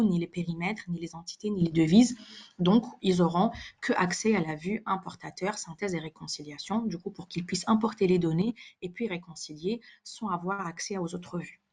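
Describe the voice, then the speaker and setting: A woman presenting a software webinar as a live explanatory demonstration, in a halting delivery with repeated words. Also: lowish voice, breathy edge.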